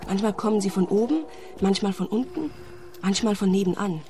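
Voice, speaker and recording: An actress playing a calm, matter-of-fact young woman living alone in a haunted house. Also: mid-range voice, a little fast, slight background noise, natural voice. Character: young woman tenant, calm, unafraid of ghosts.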